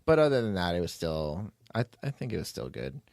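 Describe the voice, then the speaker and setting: A man's voice: low-pitched, very animated, fairly quick, gravelly, darkish tone, no room sound, studio quality. A man hosting a podcast as its writer and producer, telling behind-the-scenes stories in a casual, rambling way.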